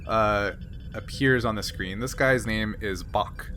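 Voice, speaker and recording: A man's voice: lowish voice, slow pace, fairly bright tone. Male game master over background music. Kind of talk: improvised tabletop game narration. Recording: music playing behind the voice.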